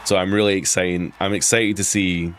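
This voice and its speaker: Man, Edinburgh Scottish accent, low pitch, rambling casual gameplay chat. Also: little pitch movement, normal speed, background noise. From Scotland. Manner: chatty, rambling, frequent restarts and laughs. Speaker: streamer playing a wrestling game. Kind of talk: casual live commentary on gameplay.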